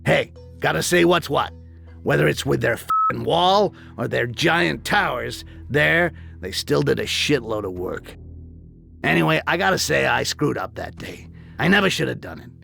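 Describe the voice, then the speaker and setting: A man voicing a cynical, foul-mouthed goblin mercenary in a video game, gravelly and sardonic. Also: medium pitch, lively intonation, moderate pace, music in the background. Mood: grim, sardonic. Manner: sardonic, streetwise, crude. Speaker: character narrator.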